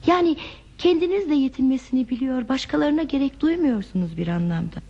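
Radio-play drifter: lonely, reflective, measured, slightly high yet dark voice, muffled recording. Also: female voice, lively intonation. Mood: wistful. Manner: measured, wistful, philosophical. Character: lonely, reflective wandering woman without belongings. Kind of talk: Turkish radio theater acting.

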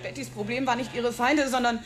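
An actress playing a nervous, chatty young film extra, who stammers and rushes her words in a radio drama. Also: on the high side, steady tone, lightly acted. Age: young adult. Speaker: witness. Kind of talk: German radio drama acting.

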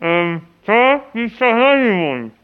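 A man's voice: sits high, big pitch swings, slow pace, clear, resonant tone, voice pushed up, full character voice. A dull, lo-fi phone line carries a man acting a bumbling patient. His slurred, garbled speech swoops up and down, and he sounds helpless and obtuse as he makes his prank call. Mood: naive, stubborn. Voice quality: slurred, garbled, hard to understand. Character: bumbling dental patient with hard-to-understand speech.

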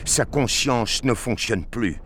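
A man narrating a scripted action story with deliberate, intense dramatic delivery. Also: mid-range voice, animated, noisy background. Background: prominent music.

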